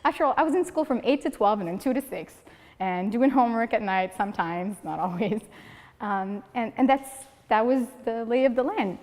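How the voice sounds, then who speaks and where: Woman in her 30s telling funny stories at a club speech, voice a little high, hall echo trailing off. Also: normal speed. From Morocco. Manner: lively storytelling, acting out quoted people. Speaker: club speaker. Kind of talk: humorous prepared speech. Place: meeting room of a speaking club. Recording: long reverb of a hall.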